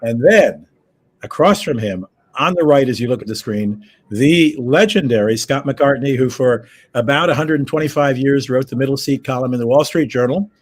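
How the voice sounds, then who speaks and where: A man's voice: mid-range voice, moderate pace. Guy w a head cold, froggy, rambly chatty host on a video call from home. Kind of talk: casual travel news chat. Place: his home.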